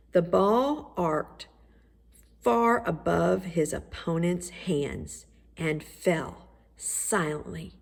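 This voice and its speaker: A woman narrates a children's story with expressive, deliberate phrasing. Her pitch sits a little low and her pace is slow. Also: creaky. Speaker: storybook reader.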